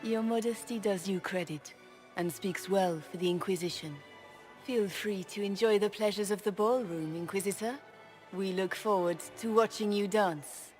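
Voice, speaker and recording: A woman's voice: mid-range voice, moderate pace, a little mellow, soft background music, natural voice. An actress playing a gracious, formal noblewoman in a fantasy game, with courtly, poised phrasing. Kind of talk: video game dramatic acting.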